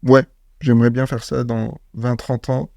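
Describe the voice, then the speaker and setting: A man's voice: medium pitch, flat delivery, a little slow, vocal fry, darkish tone, no room sound, studio quality. A man from Morocco, a startup founder, speaking in a casual interview, hesitant with many fillers and restarts.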